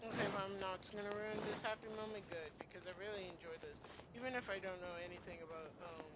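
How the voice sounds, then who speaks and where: A young woman does casual gameplay commentary in a medium-pitched voice. She rambles playfully and talks in slang, like someone chatting along to a stream. The recording has loud noise behind her. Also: quick pace.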